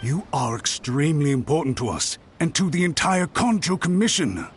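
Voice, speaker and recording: An actor playing an anxious, overbearing clan retainer in an anime fantasy game, speaking in an agitated, insistent, suspicious way. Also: male voice, a little high, sing-song, normal speed, a little hiss or hum.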